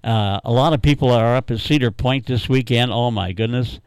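A man hosts a radio call-in show, chatting and reminiscing in a halting, rambling, easygoing way. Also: medium pitch, clean tone, no room sound. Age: 80s. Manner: rambling, halting, chuckling storyteller. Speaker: radio talk host taking callers. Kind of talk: casual, unscripted reminiscing and chat.

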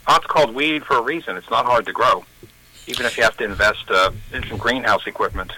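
Rambling man calling in, sharp tone. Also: mid-range voice, brisk, no room sound, poor audio. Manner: rambling, hesitant, with restarts and fillers. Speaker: caller. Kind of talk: call-in radio comment.

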